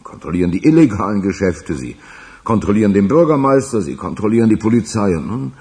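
A gravelly, low-voiced man is a gruff, sceptical policeman working for a gangster. His pitch moves animatedly above faint music. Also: big pitch swings, fast, darkish tone. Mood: sceptical, soothing. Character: gruff, sceptical police official serving a crime boss. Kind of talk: German radio crime drama acting.

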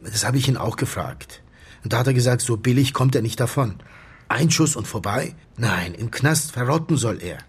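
An actor playing a grieving doctor in a radio drama, confessing in a halting, subdued voice that often sinks toward a whisper. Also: male voice, mid-range voice, expressive, slightly rough. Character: grieving male doctor confessing to his friend's staged death. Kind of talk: German radio drama acting.

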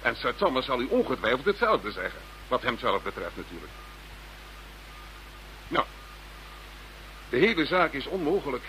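A government minister in a radio play, formal and eloquent. His voice is bright and forceful at an average male pitch, pressing each point insistently. The recording carries slight noise. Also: big pitch swings, normal speed, pauses often, poor audio. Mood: exasperated, insistent. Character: Dutch government minister protesting his innocence to detectives.

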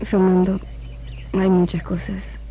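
An actress with an Argentine accent playing a troubled, reticent woman in love in a 1960s radio drama, breathy and hesitant. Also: mid-range voice, completely flat delivery, slow pace, dark tone. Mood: pained, hushed. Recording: faint noise of old radio recording.

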